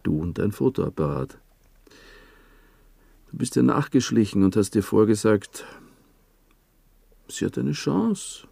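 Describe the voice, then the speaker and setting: A bullying male inspector with an Austrian accent. The voice is very low and dark, husky with a gravelly edge. He goads and insinuates like an interrogator in a radio play who enjoys the pressure. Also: big pitch swings, slow, pauses often, dry recording, full character voice. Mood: domineering, accusing. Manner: insinuating, goading, domineering. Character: menacing, taunting male police inspector bullying a suspect. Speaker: actor in a radio drama.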